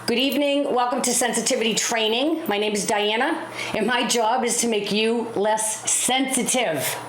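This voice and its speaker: A hoarse woman hosting a call-in talk show, brash and teasing, pushing her caller for answers. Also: a little high, moderate pace, echoey room, a little hiss or hum, low-quality recording. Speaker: host.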